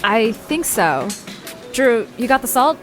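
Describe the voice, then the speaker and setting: A woman's voice: fairly high pitch, sing-song, takes it slowly, fairly bright tone, faint music behind, exaggerated voice. A woman playing an earnest, determined young leader of a group of friends in an audio drama. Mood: casual, organising. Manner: earnest, casual.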